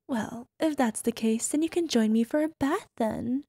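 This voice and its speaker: Slow, creaky-voiced teasing wife, acted by a woman. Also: high voice, dry recording. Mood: playful, teasing.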